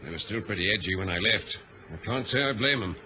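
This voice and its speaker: An actor in a vintage radio drama playing an authoritative ship's captain who gives clipped, formal orders. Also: male voice, fairly low pitch, expressive, quick pace, lightly acted. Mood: concerned, confiding. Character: commanding male captain of a troubled passenger ship, privately uneasy. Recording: old radio drama recording, faint noise.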